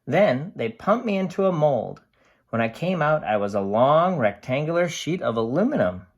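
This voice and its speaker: A reader voicing a cheerful, excitable aluminum can in a storybook diary, bubbly and expressive. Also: mid-range voice, normal speed, highs cut off. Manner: bubbly, wide-eyed, expressive storybook delivery.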